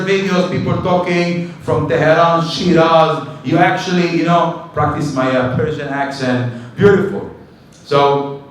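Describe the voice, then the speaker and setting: A rabbi teaching a weekly Torah class to a live group, animated and joking, now and then breaking into short chanted tunes. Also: male voice, fairly high pitch, slight background noise. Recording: echoey hall, mic from a distance.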